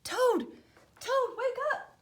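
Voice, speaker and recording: A woman plays a sensible, kindly frog from a children's storybook. He talks slowly and patiently in a high, gentle, reassuring voice. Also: expressive, noisy background, full character voice. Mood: eager.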